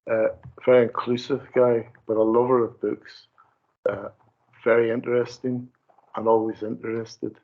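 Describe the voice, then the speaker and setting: A man's voice: lowish voice, vocal fry. A man, a councillor, giving an earnest tribute at a committee meeting, repeating words as he goes. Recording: dull lo-fi meeting audio.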